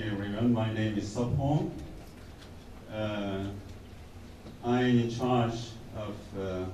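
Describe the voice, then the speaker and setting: Low-voiced man in an echoey hall. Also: background noise. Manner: halting, frequent filler pauses and restarts. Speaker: engineer in mining technology. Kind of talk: workshop self-introduction. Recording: echoey conference hall, distant mic.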